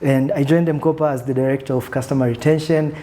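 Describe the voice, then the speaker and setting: A soft-spoken man, reflecting on his career in a relaxed interview, with many fillers. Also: medium pitch, fast. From Tanzania. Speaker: guest, country manager. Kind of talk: conversational interview.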